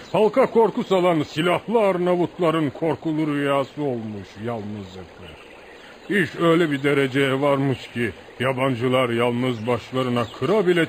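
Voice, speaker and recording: A male actor plays a rustic village man in a radio theatre piece, with a mid-pitched voice. He tells legends of outlaws with admiration and lively folk-storyteller flair, always deferential to his listener. The recording carries a faint noise. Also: natural voice. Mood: earnest, admiring. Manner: animated folk storyteller, addresses listener as sir. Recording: noisy radio drama recording.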